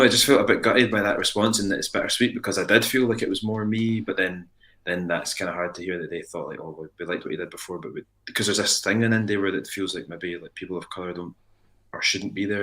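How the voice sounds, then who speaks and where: A man's voice: sits low, fairly bright tone. A man with a Scottish accent, a musician talking casually in an interview, rambling with frequent restarts and fillers. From Scotland. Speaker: band frontman guest.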